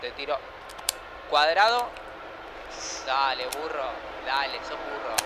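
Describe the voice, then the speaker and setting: A man's voice: mid-range voice, expressive, slow. A male actor playing a teasing teenage son in an audio drama. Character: teasing teenage son gaming with his dad. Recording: noisy background ambience.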